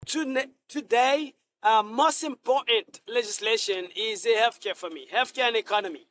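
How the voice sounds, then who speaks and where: Halting man, high voice. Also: a bit bright, no echo. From Haiti. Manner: halting, many restarts and fillers. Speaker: Senate candidate. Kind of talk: interview answers.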